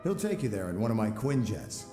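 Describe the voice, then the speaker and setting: An actor playing a serious superhero in a video game cutscene. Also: male voice, lowish voice, sing-song, a little fast, mellow, reverberant, soft background music.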